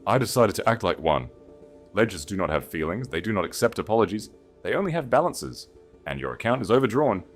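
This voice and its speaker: A man voicing a betrayed young noblewoman in an audiobook, cold, measured and precise. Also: on the low side, big pitch swings, soft background music, voice pushed up. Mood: icy, final.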